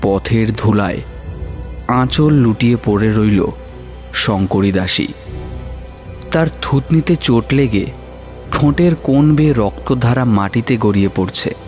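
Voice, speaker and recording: A male narrator tells a horror story in a slow, measured delivery. His mid-pitched voice is dramatic and suspenseful, set over faint music. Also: animated, darkish tone.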